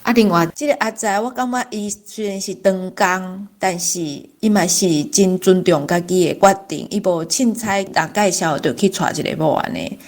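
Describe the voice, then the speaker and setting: A woman's voice: mid-range voice, moderate pace, few pauses, darkish tone. A woman with a Taiwanese accent giving informal, reflective opinions as a guest in a book discussion.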